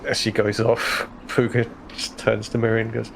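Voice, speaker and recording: A man's voice: fairly low pitch, completely flat delivery, moderate pace, creaky, slight background noise, low-quality recording. A man playing in a tabletop game, speaking casually in character with a dry, courteous manner.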